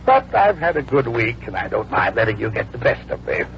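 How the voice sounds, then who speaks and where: The Devil of an old radio play, a sly tempter who coaxes and mocks with silky manners. His voice creaks and swings freely in pitch. The broadcast sounds muffled with age. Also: sing-song, fairly bright tone, a little hiss or hum. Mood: coaxing, menacing. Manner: mocking, coaxing, unhurried politeness. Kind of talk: 1940s radio acting.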